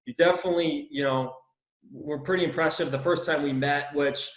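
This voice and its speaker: A man's voice: a little high, steady tone, moderate pace, fairly bright tone, reverberant, rough recording. A man hosting a casual podcast interview, enthusiastic and slangy, calling his guest "dude" and "bro". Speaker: podcast host.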